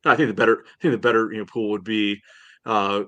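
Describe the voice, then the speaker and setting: A man co-hosting a sports podcast, chatting casually in a halting way with lots of filler phrases. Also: fairly low pitch, fairly even intonation, a bit bright, dry recording. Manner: halting, peppered with "you know".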